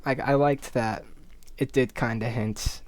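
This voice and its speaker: A man with an average-pitched voice reads a story aloud. He narrates expressively, like someone sharing a visual novel with viewers, and can slip into casual commentary. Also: fairly even intonation, unhurried, no echo.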